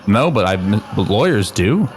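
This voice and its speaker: A somewhat low male voice with slight background noise from an improv actor. He is a concerned office colleague in a naturalistic radio play. His delivery is halting, tactful and uncomfortable as he tries to reason. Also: big pitch swings. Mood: patient, unconvinced.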